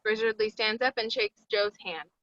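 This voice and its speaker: A woman's voice: medium pitch, normal speed, a bit bright, no echo, highs cut off. A woman narrating stage directions at a screenplay table read.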